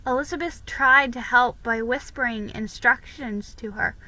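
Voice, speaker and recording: Woman, story reader, bright forceful voice, fairly high pitch, halting dramatic narration. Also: low-quality recording. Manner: halting, with stumbles and restarts. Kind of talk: dramatic reading of a children's historical novel.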